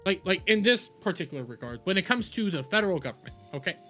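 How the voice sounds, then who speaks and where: Bright-voiced man ranting on a livestream. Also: high-pitched, very animated, soft background music. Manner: exasperated, halting with repeated words; casual swearing. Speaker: streamer, host. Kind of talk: casual livestream political rant.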